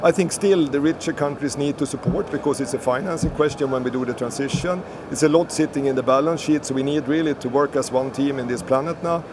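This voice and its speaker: A man from Sweden speaking as a panelist on an online panel, earnest and halting, often repeating words. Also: medium pitch, noisy background.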